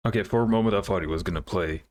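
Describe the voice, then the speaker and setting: A man's voice: sits low, rapid-fire. A man hosting a reaction video, commenting casually and hesitantly with music behind him. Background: music from the game trailers.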